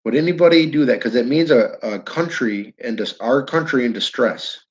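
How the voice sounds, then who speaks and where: A man's voice: medium pitch, moderate pace, highs cut off. Rambling northern New York man livestreaming. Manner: rambling, restarts sentences, talks to chat by name. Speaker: livestream host. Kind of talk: casual livestream chat with viewers. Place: his downtown building.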